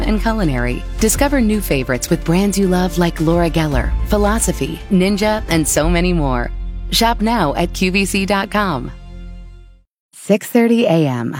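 A woman's voice: medium pitch, a little mellow. A woman reading scripted radio commercials in a friendly, conversational way. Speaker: announcer.

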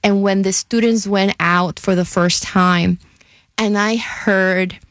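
Brief: middle-aged Puerto Rican-accented woman, earnest interviewee, steady mid pitch, close dry sound. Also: slow, no echo. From Puerto Rico. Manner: earnest and warm, repeats words as she goes. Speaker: nonprofit CEO, former physician. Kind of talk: interview conversation.

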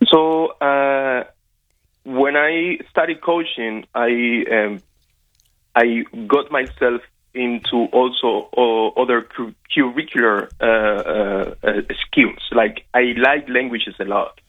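A man's voice: mid-range voice, takes it slowly. Cuban man on a tinny line. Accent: Cuban Spanish. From Cuba. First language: Spanish. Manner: hesitant, many fillers and restarts. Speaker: guest, wrestling coach. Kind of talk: casual interview over a call.

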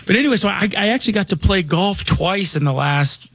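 A man in his forties hosting a radio show, talking casually in a raspy, slightly hoarse voice, rambling with many restarts and fillers. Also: sits high, expressive, fairly quick, a bit bright, no echo. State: slightly hoarse from chest trouble. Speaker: radio show host and financial advisor.

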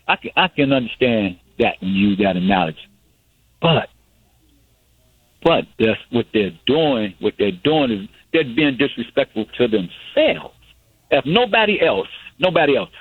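A man's voice: medium pitch, very animated, normal speed, slightly rough, no echo. A man in his sixties calling into a radio show, arguing insistently and repeating himself to press his points. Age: 60s. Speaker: caller. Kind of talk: radio call-in debate. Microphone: phone. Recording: tinny phone line.

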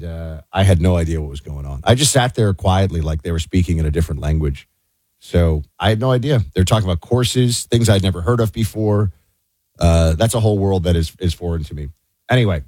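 An American man hosting a talk radio show with off-the-cuff political commentary, slightly husky, conversational and rambling, often interrupting himself. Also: low voice, darkish tone, no echo.

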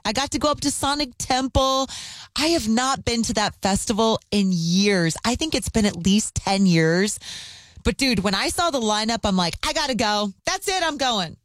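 A young adult woman radio DJ with a hoarse, worn voice, chatting upbeat and casual on air. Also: on the high side, no room sound. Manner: upbeat, chatty, laughs easily. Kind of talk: radio DJ talk.

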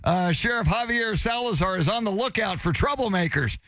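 A man's voice: high-pitched, lively intonation, brisk, fairly bright tone, no room sound. A man hosting morning radio news, chatting and joking with his co-host before reading the weather. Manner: chatty, joking, self-deprecating. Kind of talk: casual banter and news reading.

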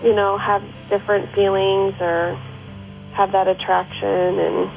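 A woman's voice: mid-range voice, little pitch movement, a little slow, vocal fry, soft background music. A woman in her late twenties calling a radio show, hesitant and earnest, lovesick over an ex. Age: 20s. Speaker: caller. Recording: dull lo-fi phone line.

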